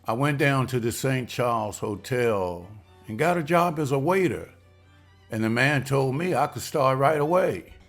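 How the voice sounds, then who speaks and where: An earnest, respectful young husband, as a man reads him who speaks with polite deference at a mid pitch. Faint music plays quietly behind him. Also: lively intonation, unhurried, gravelly. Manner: earnest, respectful, says ma'am. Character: William, a hopeful, polite young husband and waiter. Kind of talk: amateur table read.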